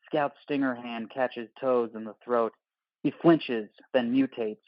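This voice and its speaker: A man reading scripted stage directions for an audio drama as narrator, in deliberate, dramatic short phrases. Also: mid-range voice, steady tone, dry recording, lo-fi sound.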